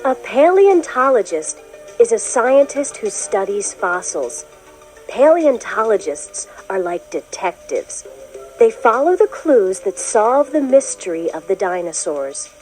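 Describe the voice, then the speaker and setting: Woman, children's read-along narration, slow pace, varied expressive intonation, measured explanatory delivery, music behind. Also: mid-range voice, rough recording. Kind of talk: scripted storybook narration.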